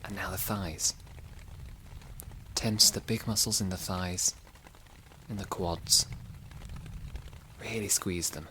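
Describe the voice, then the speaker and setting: A man hosting a guided sleep meditation podcast, giving soothing, gentle, unhurried instructions. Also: deep voice, fairly even intonation, a little hiss or hum, low-quality recording.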